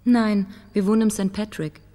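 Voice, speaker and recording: A woman's voice: medium pitch, steady tone, normal speed, dark tone, no echo, close to an everyday voice. An actress playing a wry, curious woman traveller in a radio drama, with a dry, teasing manner.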